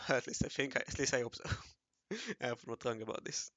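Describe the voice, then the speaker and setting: A man with a raspy voice talking casually to himself while he draws, mumbling and correcting himself as he goes. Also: medium pitch, fairly even intonation, a little fast, fairly bright tone, dry recording. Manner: mumbling and self-correcting, often murmuring half to himself. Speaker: hobby artist narrating his drawing session. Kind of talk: casual think-aloud commentary while drawing.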